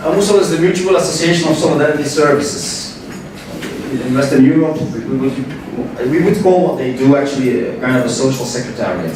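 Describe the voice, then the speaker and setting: A man from Belgium talks at a conference panel, stumbling over repeated words as he explains. His voice sits at an ordinary pitch and reaches the mic from a distance. It rings through a very echoey hall over a steady noise in the background. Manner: hesitant, many repeated words and restarts. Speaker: panel speaker. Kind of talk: conference talk.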